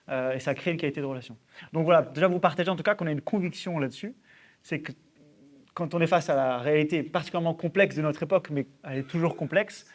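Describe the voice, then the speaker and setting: A man in his early thirties speaking at a conference, sharing a personal testimony in an informal, halting way and putting questions to himself. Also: on the high side. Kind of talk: personal testimony in a public talk.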